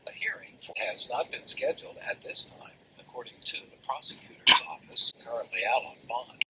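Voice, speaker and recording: A man's voice: mid-range voice, expressive, fairly quick, very noisy. A man with an American accent reading a scripted news report as a reporter.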